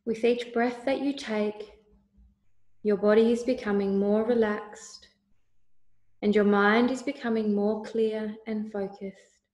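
A woman leading a guided meditation with calm, soothing, deliberate instructions. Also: mid-range voice. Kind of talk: slow guided meditation narration. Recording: roomy, echoey voice recording.

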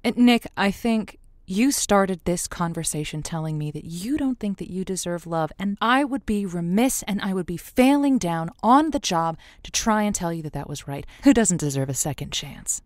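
A woman's voice: lowish voice, lively intonation, moderate pace, a little mellow, no room sound, studio quality, close to an everyday voice. An actress playing an earnest, folksy woman who hosts a radio dating-advice show, chatty and playful. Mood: earnest, encouraging. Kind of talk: audio drama acting as late-night radio host.

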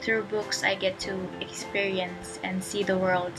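A soft-spoken young woman from the Philippines talks about her feelings on camera from a bedroom. She speaks through an earphone mic while music plays clearly underneath. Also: medium pitch, little pitch movement, measured pace, poor audio. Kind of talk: personal testimonial to camera. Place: bedroom at home.

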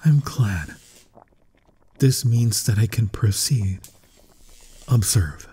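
A man playing an obsessed, grandiose mad scientist in a gothic horror ASMR roleplay, with florid, dramatic, often breathy delivery. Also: lowish voice, lively intonation, slow, warm tone. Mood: hushed anticipation. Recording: thunderstorm and rain noise. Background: rain and thunder ambience.

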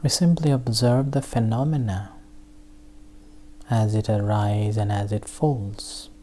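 Meditation guide: unhurried, halting delivery in short phrases, soft dark tone, mid-low pitch. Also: steady tone, a little hiss or hum. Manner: halting, with long pauses between short phrases. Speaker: meditation teacher. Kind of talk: guided meditation, slow spoken instruction.

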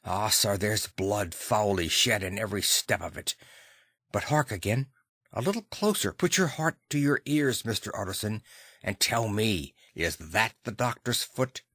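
A man's voice: fairly low pitch, lively intonation, measured pace, fairly bright tone, breathy edge, dry recording, full character voice. A man doing an anxious, deferential old-time butler. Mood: anxious, hushed. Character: anxious, deferential Victorian male butler, plain-spoken and superstitious.

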